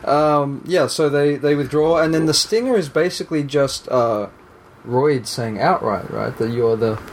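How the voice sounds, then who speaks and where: A man's voice: fairly high pitch, a little slow, a little hiss or hum. A man hosting a podcast, chatting casually and rambling a little with wry asides. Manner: rambling, self-correcting, wry. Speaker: podcast host. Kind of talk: casual chat.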